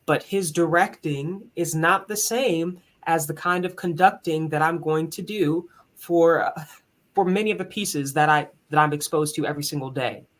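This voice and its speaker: A man with a higher tenor voice, speaking as a musician and conductor in an earnest, candid, reflective way in a conversational interview. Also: moderate pace, a bit bright.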